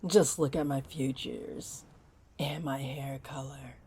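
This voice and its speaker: A woman voicing a flirty, possessive mermaid in an intimate roleplay audio drama, coaxing in breathy half-whispers. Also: extremely low pitch, steady tone, slow, dark tone, full character voice. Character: flirty, possessive, greedy female mermaid luring a pirate. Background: wave ambience.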